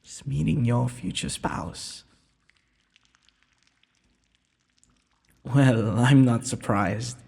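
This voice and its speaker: A man playing a gentle, courteous young prince in a romantic audio roleplay, speaking formally and a little hesitantly. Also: mid-range voice, takes it slowly, heavily acted. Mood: nervous. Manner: formal, polite, breathy speech with hesitations. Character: gentle, courteous young underground prince, nervous, earnest suitor. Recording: long hall-like reverb.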